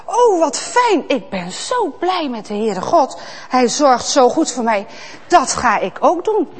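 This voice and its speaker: A woman's voice: high voice, very animated, takes it slowly, exaggerated voice. A woman voicing an eager, devout young shepherd who gushes with delight. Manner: gushing, delighted.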